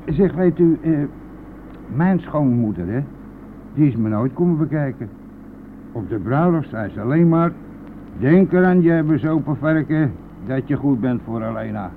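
A man's voice: medium pitch, animated, moderate pace, long pauses, close to an everyday voice. An actor playing a chatty, coarse, self-pitying, hard-drinking bus driver who needles his passenger in a colloquial radio-drama style. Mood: rambling, self-pitying. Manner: garrulous, jovial, needling, colloquial. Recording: tinny, band-limited, noisy old radio-play recording.

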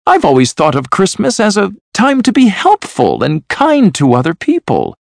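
A man voicing a high-pitched, cheerful young nephew. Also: huge pitch swings, moderate pace, vocal fry, no room sound, exaggerated voice. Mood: earnest. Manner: earnest, friendly, pleading. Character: cheerful, warm-hearted young nephew of the miser. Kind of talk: storybook character voice.

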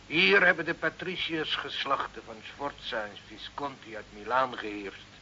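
Kindly village priest, gently scolding, very bright cutting voice, old muffled radio play. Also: male voice, mid-range voice, expressive, moderate pace. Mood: gently pious. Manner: admonishing, pious, fatherly. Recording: dull, noisy old radio recording.

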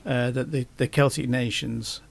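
Male podcast guest, gravelly voice, hesitant delivery with frequent restarts. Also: medium pitch, fairly even intonation. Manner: halting, many restarts and fillers. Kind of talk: casual podcast conversation.

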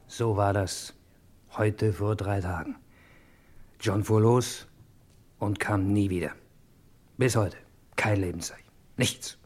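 An actor playing a gruff, clipped, grumbling detective who swears a lot in an old German radio crime drama. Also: male voice, lowish voice. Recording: noisy old radio play recording.

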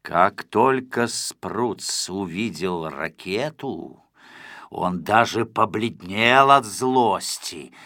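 A man narrating a children's audiobook with expressive, scripted storytelling. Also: fairly low pitch, extremely slow, no echo, studio quality.

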